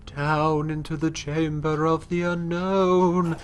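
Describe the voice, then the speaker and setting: A man's voice: a little high, flat delivery, takes it slowly, clear, resonant tone. A congested man chatting casually as he plays a fantasy game, rambling and often restarting his sentences while describing his character's actions. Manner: talks about his character in third person. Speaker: let's play host. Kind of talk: casual gameplay commentary.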